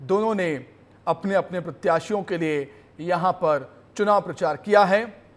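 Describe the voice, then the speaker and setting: A man presents television news in an emphatic, declarative, scripted anchor style. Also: high voice.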